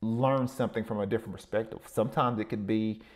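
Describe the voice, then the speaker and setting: A man's voice: lowish voice, steady tone, fast. A man hosting a podcast, giving a solo motivational talk in a rambling way, with many fillers and repeated words. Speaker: podcast host.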